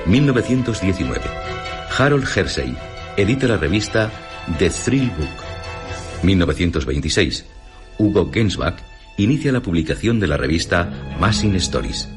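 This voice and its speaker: Man, deep voice, scripted radio narration, formal announcer delivery, soft music bed. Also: big pitch swings, unhurried.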